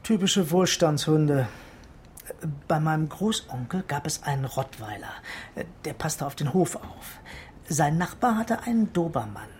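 Blunt detective over background noise. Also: male voice, a little high, animated, measured pace. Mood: mocking. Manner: blunt, dryly mocking. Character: flippant, blunt male junior detective. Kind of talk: radio crime drama acting.

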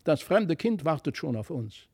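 A man's voice: on the high side, big pitch swings, normal speed, a little mellow, breathy edge, no echo, studio quality, exaggerated voice. A man voicing a hot-headed, defiant little boy in a storybook, exclaiming boldly and impetuously.

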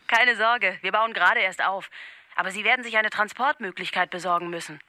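Bright, chirpy colleague. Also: female voice, medium pitch, fairly quick, dry recording. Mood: reassuring, practical. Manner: breezy, reassuring. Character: chirpy, easygoing female colleague on the telephone. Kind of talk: radio play acting.

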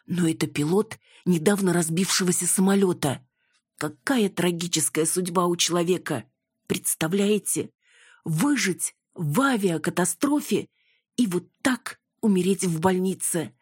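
A woman's voice: on the low side, measured pace, slightly rough, no echo, clean studio sound, pitched above the performer's own voice. A woman voicing an officious, gossipy, emphatic hospital nurse in an audiobook. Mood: lamenting. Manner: scolding then lamenting, emphatic.

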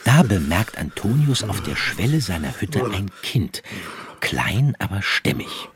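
A man's voice: lowish voice, sing-song, measured pace, a crowd in the background. A man narrating a scripted radio drama as its storyteller.

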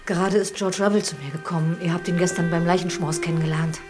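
An actress playing a dutiful, concerned housekeeper who speaks soberly and respectfully in a German radio drama. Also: female voice, lowish voice, fairly even intonation, fast, a little mellow, soft background music, close to an everyday voice.

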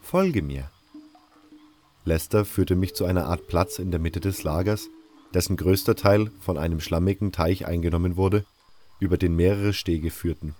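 A man's voice: low voice, steady tone, normal speed, warm tone. A man narrating a scripted fantasy audio drama in the first person as a storyteller. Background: music.

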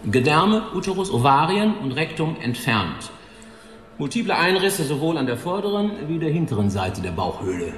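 An actor playing an old pathologist in a radio drama, dictating in a clinical, detached and deliberate manner. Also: male voice, a little high, very animated, faint music behind. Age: older. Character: tall old male pathologist, clinical, cigar-smoking, matter-of-fact. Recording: echoey hall with long reverb.

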